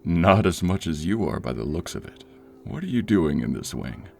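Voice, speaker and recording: A man's voice: low-pitched, very animated, moderate pace, vocal fry, darkish tone, soft background music, exaggerated voice. A man playing an amused, teasing director in authority in an audio roleplay, with a wry way of talking. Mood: amused, suspicious.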